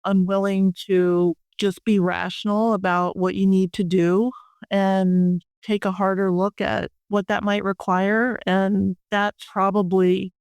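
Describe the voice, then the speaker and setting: An older woman with a very clear, smooth voice speaks as an expert guest in an interview. Her pitch stays fairly even as she explains things in measured, plain-spoken terms. The sound is dry and close. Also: dry recording. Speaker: industry expert guest and board member. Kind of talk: conversational interview answers.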